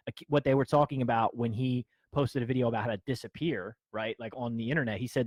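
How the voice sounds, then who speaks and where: A man co-hosting a podcast, chatting casually and quickly, talking over the others. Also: medium pitch, a little mellow, no echo, poor audio. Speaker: podcast co-host. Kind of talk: casual chat. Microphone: studio mic.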